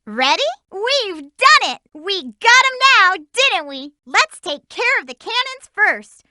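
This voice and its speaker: A woman's voice: very high-pitched, very animated, slow pace, bright, forceful tone, dry recording, studio quality, full character voice. A woman voicing a peppy, eager young female hedgehog heroine for a video game, giving upbeat instructions to her team. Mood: excited. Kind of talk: game voice clips.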